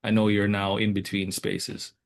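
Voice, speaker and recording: A man hosting an interview, speaking haltingly with many fillers and repeated words. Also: lowish voice, completely flat delivery, gravelly. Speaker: host. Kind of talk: casual interview.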